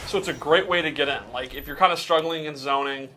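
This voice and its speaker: A man's voice: on the high side, fast talker, sharp tone. A man giving a casual, explanatory breakdown in the style of gameplay commentary. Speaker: game commentator breaking down a character.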